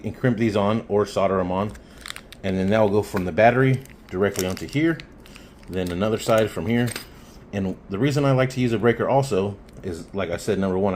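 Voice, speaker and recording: A man with a fairly low voice gives a casual hands-on tutorial. He is plainspoken and informal, working out his explanation as he goes. There is some background noise on the recording. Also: a little mellow. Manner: informal, plainspoken, thinking aloud. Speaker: hobbyist installer giving a how-to.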